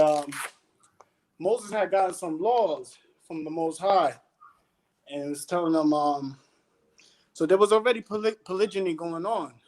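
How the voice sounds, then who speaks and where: An animated man guests on a casual panel, preaching his views in street slang and checking in with "you know what I'm saying" over and over. His voice rides unusually high for a man. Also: rough recording.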